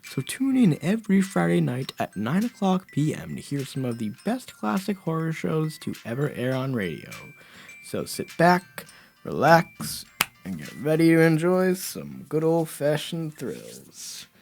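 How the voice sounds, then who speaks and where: A breezy radio DJ. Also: male voice, fairly high pitch, wildly swooping pitch, measured pace, creaky, darkish tone, faint music behind, heavily acted. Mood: upbeat. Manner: breezy, chatty announcer delivery. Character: upbeat male radio station DJ.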